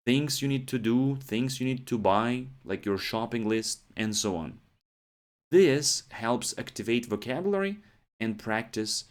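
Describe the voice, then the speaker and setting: Man teaching English, lowish voice. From Ukraine. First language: Ukrainian. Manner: explains steadily, often adds "yeah?" and "right?" checks. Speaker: English teacher, podcast host. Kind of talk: podcast lesson monologue.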